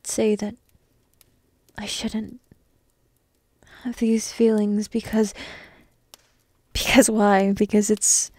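A woman playing a young dragon princess in an ASMR roleplay, coy, teasing and lovestruck, half-whispering in a soft, intimate, breathy way. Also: mid-range voice, little pitch movement, slow, long pauses, dry recording. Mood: pleading, wistful.

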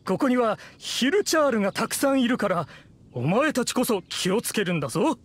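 An actor playing a blustering, boastful and evasive adult male adventurer in a game, with a husky voice. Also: extremely high pitch, sing-song, fairly quick, exaggerated voice. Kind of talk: Japanese game character acting.

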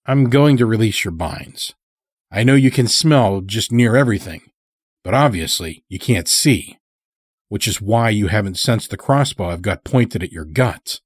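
Male reader playing monster hunter: terse, guarded, low-ish, husky, unhurried. Horror story. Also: expressive, dry recording, studio quality. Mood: guarded, firm. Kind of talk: dramatic horror audio storytelling.

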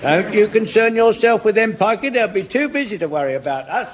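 An actor playing a doddery, excitable old English lance corporal in a radio sitcom, fussy and repetitive as he barks orders. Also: sits high, brisk, a little hiss or hum. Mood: fussy, earnest. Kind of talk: British radio sitcom acting.

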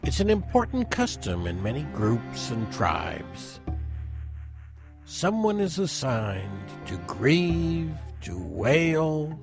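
An actor playing a wry, self-deprecating intellectual who rambles hesitantly through a radio play monologue. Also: male voice, mid-range voice, very animated, takes it slowly, gravelly, over music, exaggerated voice. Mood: wry, reminiscing. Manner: hesitant, digressive, chuckling asides. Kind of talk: conversational dramatic monologue.

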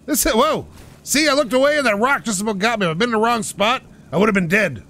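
A man talking over his own driving game playthrough with a slightly raised pitch. He pushes his voice out so it carries, which makes it sound a bit aggressive. He rambles casually and jokes at his own expense. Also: fast talker. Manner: pushes his voice out loud and energetic, rambling and self-mocking. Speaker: gaming channel host. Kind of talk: casual let's-play commentary. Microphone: home microphone while playing on a couch.

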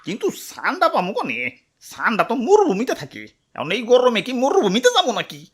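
A woman's voice: on the high side, wildly swooping pitch, brisk, husky, full character voice. A woman playing a grumbling, reluctant old village grandpa in a comic cartoon, complaining in a Bangladeshi accent. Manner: complaining, verbal tic between phrases. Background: background music.

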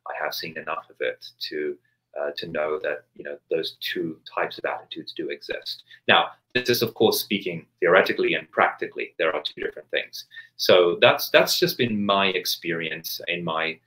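Measured low-voiced priest on a call. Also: male voice, bright, forceful tone, rough recording. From England. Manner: measured, many restarts and hesitations. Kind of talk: thoughtful interview answer. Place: home study with bookshelves, video call.

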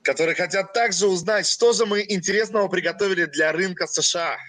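Bright, high-voiced young Russian man. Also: no room sound. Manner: speaks in short phrases, pausing for his interpreter. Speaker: company president. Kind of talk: webinar presentation.

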